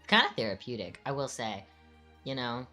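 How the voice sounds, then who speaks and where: Very low-voiced laid-back woman streamer. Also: very animated, moderate pace, pushed and bright, faint music behind. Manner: laid-back, chatty, lots of "like" fillers. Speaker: streamer co-host. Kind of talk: casual chat while animating on stream.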